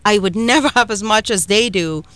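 A woman's voice: mid-range voice, moderate pace, a bit bright, dry recording. Chatty Trinidadian woman hosting radio. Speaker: radio show host.

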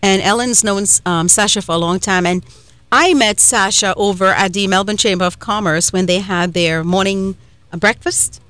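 A woman's voice: mid-range voice, normal speed, a bit bright, dry recording. A woman from Trinidad hosting a radio talk show, chatty and emphatic, with frequent fillers. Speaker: radio host. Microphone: studio microphone.

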